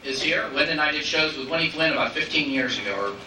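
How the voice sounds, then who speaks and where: A man's voice: a little high, animated, quick pace. A man giving an informal, chatty curtain speech from a stage, thanking guests and helpers by name. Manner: chatty, addressing individuals in the audience. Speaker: station manager announcer thanking audience. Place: theater stage, recorded from the audience. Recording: distant echoey theater tape, loud audience noise.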